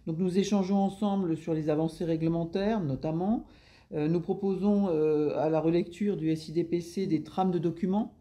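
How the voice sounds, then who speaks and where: A woman's voice: lowish voice, steady tone, moderate pace, mellow. A woman explaining her public service work in interview answers, with formal administrative phrasing.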